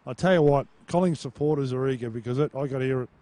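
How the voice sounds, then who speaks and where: An Australian man reporting from the boundary, his voice husky from a cold, chatting casually with the commentators. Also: medium pitch, fast talker. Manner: casual, relaxed chat. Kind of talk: football broadcast commentary.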